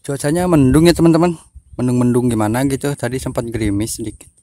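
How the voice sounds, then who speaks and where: A young man talks in an informal, chatty vlog style. His voice sits at a middle pitch. He speaks to his viewers as friends, recorded on a handheld phone in the open air. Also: quick pace, dark tone. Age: young adult. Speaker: fishing vlogger. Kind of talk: casual chat to camera. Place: outdoors by a pond and fields.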